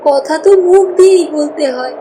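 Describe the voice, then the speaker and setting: Cartoon village daughter, played by a woman, high childlike pleading voice, anxious, clear storm noise behind. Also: moderate pace, warm tone, close to an everyday voice. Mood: chatty. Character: anxious young village girl, chatty daughter.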